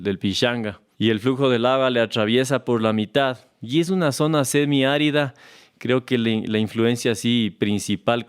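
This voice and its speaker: A man from Ecuador with an Ecuadorian Spanish accent, a farmer talking as a guest in a relaxed, unhurried way, often restarting and repeating words. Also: medium pitch, clean tone. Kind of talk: relaxed conversational storytelling. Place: farm, recorded on location.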